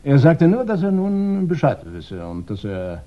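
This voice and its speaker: An actor playing a meek henpecked husband in a 1960s radio crime drama, flustered and agreeable, hesitating and repeating himself. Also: male voice, a little high, very animated, unhurried. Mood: nervous, hesitant. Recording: dull vintage 1960s radio recording.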